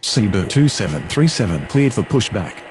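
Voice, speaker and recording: A man's voice: fairly low pitch, sing-song, normal speed, noisy background. Swooping man as airport radio operator. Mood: businesslike. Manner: formal, procedural. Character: procedural male airline pilot and controller radio voice. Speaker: air traffic control radio voice. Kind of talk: scripted aviation radio phraseology.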